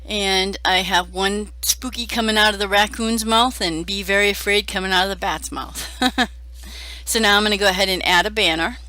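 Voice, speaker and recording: Hoarse middle-aged woman crafter, chatty and self-deprecating, bright tone. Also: mid-range voice, fairly even intonation, moderate pace, no room sound, rough recording. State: hoarse from a cold. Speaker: card-making crafter. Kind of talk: casual crafting voice-over.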